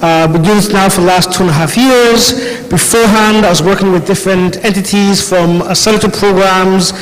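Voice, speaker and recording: A man's voice: high-pitched, fairly even intonation, fairly quick. Man with a foreign accent, conference hall. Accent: foreign, European-tinged. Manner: casual, chatty, self-correcting. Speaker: conference speaker. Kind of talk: conference presentation. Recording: hall reverb from a large room.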